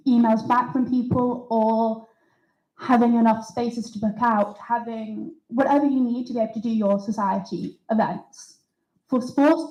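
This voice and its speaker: A young woman running in a student election answers at a hustings, earnest and orderly, listing her points in turn. Her voice sits fairly high but sounds mellow. It comes through a dull mic in a roomy hall. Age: young adult. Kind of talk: timed candidate answer at a hustings. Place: students' union event room.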